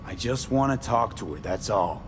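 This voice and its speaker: Man acting deep-voiced, blunt game mercenary. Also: animated, moderate pace, natural voice. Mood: blunt, insistent. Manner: terse, clipped, blunt. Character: blunt, guarded male mercenary searching for a missing person. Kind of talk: scripted video game acting. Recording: faint ambient noise.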